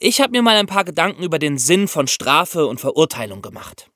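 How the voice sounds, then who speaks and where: A man's voice: a little high, very animated, a little fast, pushed and bright, dry recording, clean studio sound. A man co-hosting a podcast, narrating a historical crime story in scripted style. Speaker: podcast co-host.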